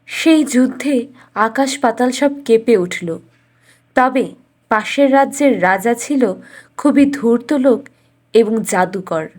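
A woman's voice: fairly high pitch, darkish tone. A woman reading a scripted fairytale as a storyteller.

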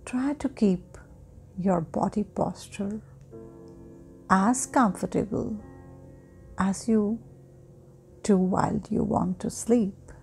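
A woman guiding a sleep hypnosis meditation in a soft, breathy, often near-whispering voice with long pauses. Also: medium pitch. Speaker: guided meditation host. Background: meditation music.

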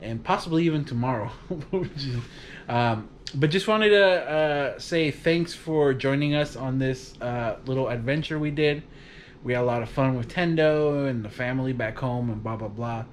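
Relaxed man chatting on his couch. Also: medium pitch, normal speed, a little hiss or hum. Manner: relaxed, rambling, laughs often. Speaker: vlog host. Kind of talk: casual chat to camera. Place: living room at home, on a couch. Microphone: camera across the room.

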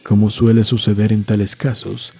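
A man reading a scripted horror story in a measured, literary storytelling manner. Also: fairly low pitch, flat delivery, creaky, dark tone, slightly rough. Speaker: narrator. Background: music.

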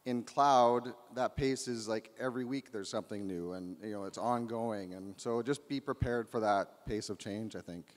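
A man answering questions on a conference panel, measured and hedging with fillers. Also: lowish voice. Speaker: panelist, cloud business owner. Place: large conference hall stage. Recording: long hall reverb.

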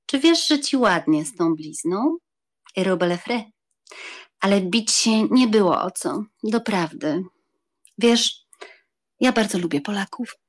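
An actress playing a teasing, pouty society countess with a flirtatious manner, in a dramatized audiobook. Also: female voice, mid-range voice, animated, takes it slowly, long pauses. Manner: flirtatious, teasing, French phrases.

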